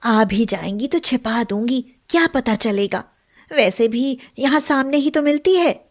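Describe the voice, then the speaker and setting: A woman's voice: on the high side, lively intonation, measured pace. A woman playing a young, sly yet sweetly deferential daughter-in-law in an acted moral story. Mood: scheming.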